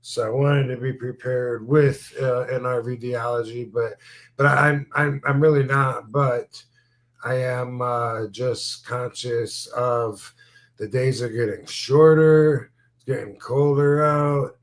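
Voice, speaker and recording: A man's voice: medium pitch, steady tone, slow pace. A man in his fifties hosting a podcast, chatting casually in a rambling, laid-back way with frequent swearing. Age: 50s. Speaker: podcast host, former wrestler.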